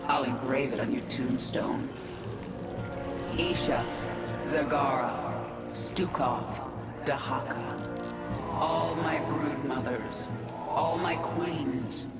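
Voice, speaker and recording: A woman's voice: rumbling low, takes it slowly, pauses often, exaggerated voice. An actress playing a vengeful alien swarm queen in a video game, cold, resolute and commanding, with a layered processed voice. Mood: menacing, rallying. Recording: echoey, distant, under loud game music.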